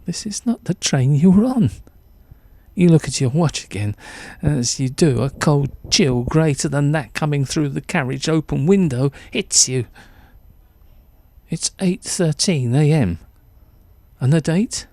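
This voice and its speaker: A man's voice: on the high side, expressive, a little slow, warm tone, no room sound. A man narrating a suspenseful short story in the second person, with theatrical delivery.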